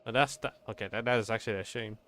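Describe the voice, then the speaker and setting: A man streaming a game with casual live reactions, halting as he thinks aloud. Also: on the low side, fairly even intonation, a bit bright. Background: faint game music.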